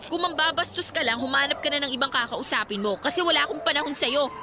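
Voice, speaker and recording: A woman's voice: high voice, quick pace, noisy background. An actress playing a guarded young female student in a radio drama, curt and emphatic. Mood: exasperated. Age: young adult. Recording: background music under the voice.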